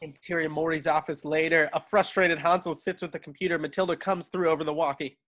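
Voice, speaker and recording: A man's voice: on the high side, flat delivery, quick pace, a bit bright. A man reading screenplay directions as narrator in an at-home table read over a video call. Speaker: stage direction reader.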